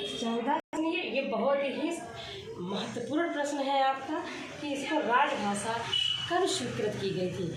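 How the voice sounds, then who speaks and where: A woman teaching a grammar lesson, asking questions and answering them herself, repeating the key points. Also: on the high side. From India. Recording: echoey room, far from the mic, steady noise.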